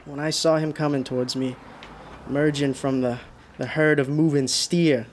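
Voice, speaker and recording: An actor playing a frightened, exhausted driver in a radio drama, halting and muttering to himself. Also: male voice, on the high side, fairly even intonation, slight background noise, lightly acted. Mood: weary, uneasy. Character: frightened, exhausted male driver haunted by a phantom. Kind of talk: radio drama monologue and dialogue.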